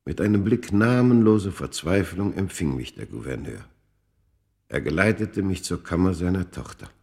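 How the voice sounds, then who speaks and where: A man's voice: low-pitched, animated, vocal fry, darkish tone. An actor playing a tormented undying man who recounts his cursed past in grave, literary radio-drama narration. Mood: shaken. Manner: grave, deliberate, literary storytelling.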